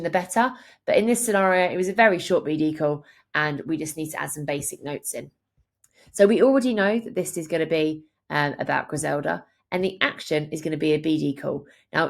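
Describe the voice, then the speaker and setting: A woman presenting a software tutorial, explaining each step in a clear, instructional way. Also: low-pitched. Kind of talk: screen-share tutorial narration, instructional.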